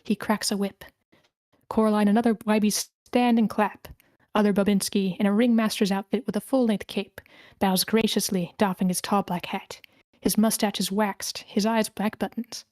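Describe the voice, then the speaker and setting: A woman's voice: mid-range voice, steady tone, normal speed, a little mellow, no echo. A woman reading a screenplay's stage directions as the narrator in scripted narration.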